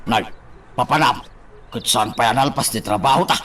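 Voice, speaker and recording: A man's voice: medium pitch, normal speed, music in the background, close to an everyday voice. A man acting a bossy, scolding companion in a radio drama.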